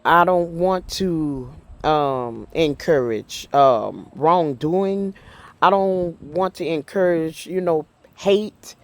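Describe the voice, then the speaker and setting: Slow, halting middle-aged woman vlogger. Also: deep voice. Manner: earnest, halting, with many fillers and restarts; heartfelt and faith-driven. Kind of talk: casual personal talk to camera.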